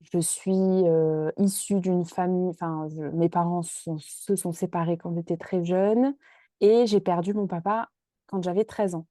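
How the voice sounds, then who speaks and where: A woman's voice: fairly low pitch, dark tone, no room sound. A woman in her twenties from Marseille, talking hesitantly with many fillers as a podcast guest. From Marseille, France. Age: 20s. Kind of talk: casual interview chat.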